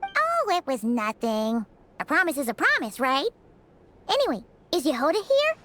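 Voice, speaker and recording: A woman voicing a tiny childlike fairy companion in a video game, chirpy and eager with a squeaky voice. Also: wildly swooping pitch, normal speed, a bit bright, a little hiss or hum, full character voice. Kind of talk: animated video game acting.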